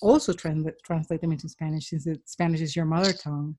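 Lower-voiced woman podcast host. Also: fairly even intonation, fast talker, dark tone, a little hiss or hum. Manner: encouraging, laughs often. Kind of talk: podcast interview and outro.

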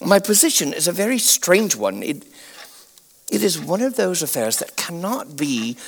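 An actor playing a proud, troubled Victorian doctor, genteel and theatrical with a halting delivery, in an audio drama. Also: male voice, high-pitched, very animated, full character voice. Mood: pained, evasive.

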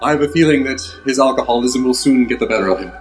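A man's voice: medium pitch, quick pace, echoey room, close to an everyday voice. A man playing a flustered, amorous movie heartthrob with a melodramatic, evasive manner in an improvised radio drama parody. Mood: amorous, regretful.

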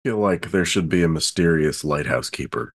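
A man's voice: very deep voice, animated, fairly quick, slightly rough. A man thinking aloud in casual conversation as a player in a tabletop story game.

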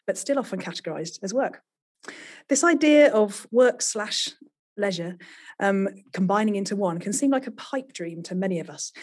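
Podcast host, woman, slightly husky, measured scripted intro delivery. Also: medium pitch, a little mellow.

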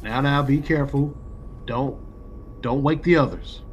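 A man playing a stern, practical colonial executive in a classic radio play, speaking with measured authority. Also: mid-range voice, dull audio, slight background noise, close to an everyday voice. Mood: calm, reassuring.

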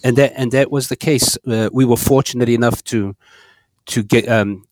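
A man's voice: mid-range voice, steady tone, normal speed, darkish tone, dry recording. A man raised in South Africa, an earnest podcast guest who often repeats words as he speaks. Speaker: guest, store operator. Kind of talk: podcast interview.

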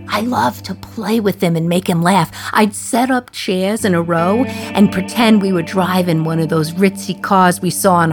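A woman's voice: lowish voice, close to an everyday voice. Defiant, wry New mother played by an actress, music behind. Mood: fond, nostalgic. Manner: wry, emphatic, confiding storytelling. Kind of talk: dramatic radio play monologue.

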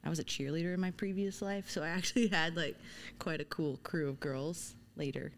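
Podcast guest: young woman from Louisiana, faint Southern lilt, lower voice, relaxed and wry. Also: brisk. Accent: mild Southern US. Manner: laid-back and self-deprecating, with filler likes. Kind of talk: casual chat.

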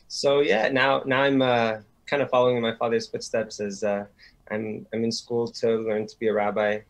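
Guest, young man, mid-pitched voice, hesitant and repetitive starts. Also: flat delivery, moderate pace, rough recording. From Sweden, raised in Montreal. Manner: hesitant, repeats words as he starts. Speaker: guest, rabbinic student. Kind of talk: interview answers.

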